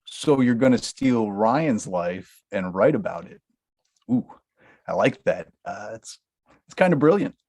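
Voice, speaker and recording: A man's voice: fairly low pitch, lively intonation, measured pace, darkish tone. A man reads a script at a table read. His delivery is eager and teasing, and he trips over his words as he pushes ahead. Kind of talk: script table read, playing a nosy friend.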